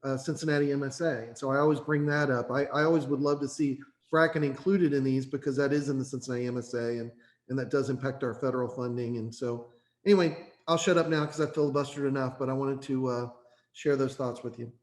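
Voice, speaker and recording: A man, a committee member speaking informally and haltingly, repeating phrases. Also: mid-range voice, fairly even intonation. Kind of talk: informal meeting discussion. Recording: echoey room sound.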